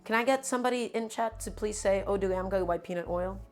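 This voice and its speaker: Cooking streamer, a woman whose first language is Russian, talking at a mid pitch. Casual and instructive, she keeps calling the viewers "my friends." Soft music plays in her home kitchen. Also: fast. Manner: chatty, instructive, often says "my friends". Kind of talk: live cooking demonstration.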